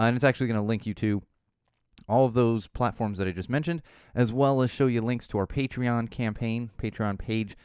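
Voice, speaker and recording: Low-voiced chatty podcast host, a bit hoarse. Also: male voice, a little mellow, no room sound, clean studio sound. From Southern California. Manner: rambling, self-deprecating, full of fillers and restarts. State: slightly hoarse. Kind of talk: casual solo podcast chat.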